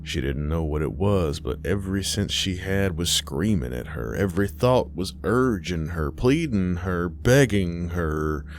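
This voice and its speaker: A man's voice: deep voice, animated, measured pace, few pauses. A man reading a horror story as narrator, in a dramatic scripted style. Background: music.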